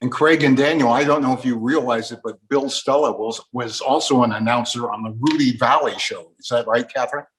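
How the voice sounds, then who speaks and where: A man's voice: medium pitch, fairly quick, hardly any pauses, rough recording. Male moderator, middle-register voice, courteous, feeding questions to a panel of guests. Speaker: interview host.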